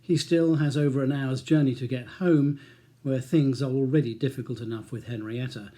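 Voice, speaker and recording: Mellow male audiobook narrator. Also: mid-range voice, fairly even intonation, normal speed. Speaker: author reading own audiobook. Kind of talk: scripted narration of a crime novel.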